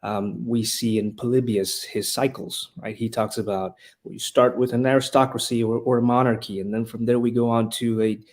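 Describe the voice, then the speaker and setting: A man's voice: medium pitch, steady tone, moderate pace, hardly any pauses. A man from Honduras, a guest on a podcast interview who teaches rhetoric and speaks earnestly and with passion, often restarting his phrases. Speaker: guest, classical school leader and rhetoric teacher.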